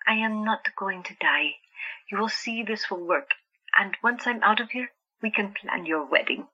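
A woman's voice: medium pitch, normal speed, pushed and bright, slightly rough, lo-fi sound. A woman playing a stubborn young sister plagued by visions in an audio drama, speaking earnestly and insistently. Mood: teasing.

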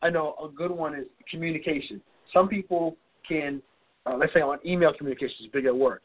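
A man's voice: a little high, fairly even intonation, fairly quick. A man co-hosting a casual advice talk, chatty and checking in with "okay?" as he goes. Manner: conversational, tags lines with "okay?" and "you know". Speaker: co-host. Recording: phone-like narrow audio.

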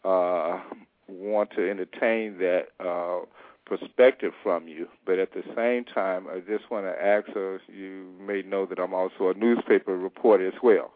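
A man co-hosting a call-in radio show as interviewer, asking rambling, halting questions full of fillers. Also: deep voice, fairly even intonation, dry recording. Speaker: radio co-host and newspaper reporter. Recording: dry, tinny phone line.

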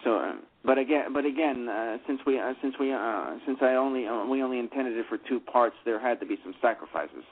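A man's voice: medium pitch, brisk, few pauses, slightly rough. Guy on a radio call-in, rambly, keeps restarting, tinny phone sound. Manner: rambling, many restarts and fillers. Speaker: playwright discussing his adaptation. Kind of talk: casual radio discussion.

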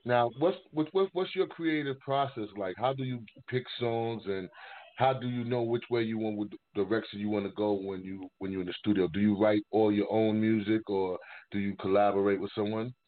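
A man hosting a casual interview, asking questions haltingly and rephrasing them midway. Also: fairly low pitch, steady tone. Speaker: interviewer, show host. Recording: narrow-band, phone-like sound.

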